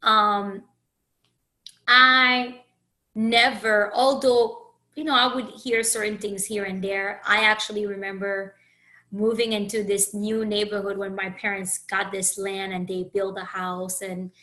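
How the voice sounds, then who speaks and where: Brief: woman from Haiti, podcast host, bright clear voice, earnest and searching, restarts often. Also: mid-range voice, level tone, a little slow. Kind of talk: reflective solo podcast monologue.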